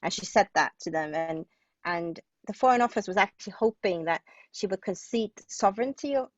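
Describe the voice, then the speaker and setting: A woman's voice: medium pitch, animated, a little fast, dry recording, highs cut off. A woman from Hong Kong talking casually as an interview guest, with informal, hesitant phrasing. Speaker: guest playwright.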